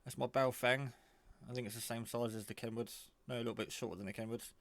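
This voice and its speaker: Bloke doing a casual gadget review, creaky voice, kinda rambling and low key. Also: male voice, steady tone, fast, fairly bright tone, dry recording. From England. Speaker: hobbyist reviewer. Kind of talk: casual off-the-cuff product demo.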